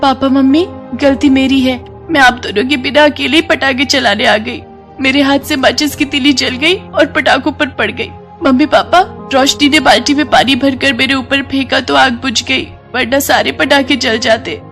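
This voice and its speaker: A woman plays a small, pampered girl in a cartoon moral tale. The voice is high and childlike, with an eager, whiny edge. Light music runs underneath. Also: steady tone, normal speed, fairly bright tone. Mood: apologetic. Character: excitable little rich girl, chattering to her parents. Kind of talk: moral story cartoon voicing.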